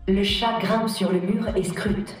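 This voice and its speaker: A woman's voice: mid-range voice, fairly even intonation, slow, natural voice. A coquettish female robot cabaret star for a video game, acted by a woman. She speaks with theatrical elegance and a teasing, flirtatious manner. Her voice rings in a strong echo, with music underneath. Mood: poised, intriguing. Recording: very echoey, music behind.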